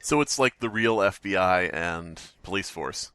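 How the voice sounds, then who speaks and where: Man, sharp bright tone, close dry sound, podcast host, sarcastic riffing, casual comic reading. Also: low-pitched, lively intonation, measured pace, clear, resonant tone, no room sound. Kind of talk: casual comedic commentary and reading aloud.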